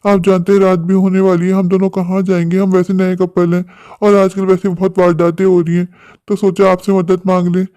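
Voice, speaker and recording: A man's voice: high-pitched, completely flat delivery, a little fast, warm tone, clear, resonant tone, dry recording, natural voice. A man playing an earnest, protective young eloping bridegroom in a cartoon story, talking insistently and pleadingly.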